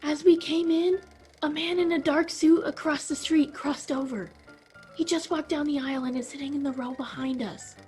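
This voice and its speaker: A woman's voice: high voice, steady tone, moderate pace, a little mellow, soft background music, close to an everyday voice. A woman playing an alarmed, suspicious small-town woman in a 1940s-style radio play, urgent and emphatic. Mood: alarmed whisper.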